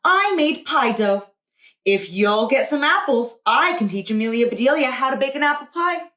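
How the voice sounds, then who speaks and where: A woman voicing a brisk, jokey storybook grandmother with a cheerfully bossy manner. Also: high voice, lively intonation, a bit bright, voice pushed up. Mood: jokingly bossy. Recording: roomy echo.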